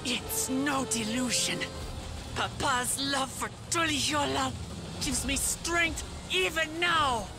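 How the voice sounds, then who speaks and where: Fantasy game princess: brave, earnest, defiant, slightly high voice, measured and slow. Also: female voice, over music. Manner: resolute, heartfelt. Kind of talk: dramatic fantasy video game acting.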